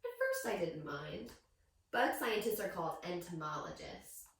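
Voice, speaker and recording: A woman reading a picture book aloud to young children as a teacher, with expressive storytelling and questions to her listeners. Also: on the low side, moderate pace. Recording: very echoey room, distant microphone.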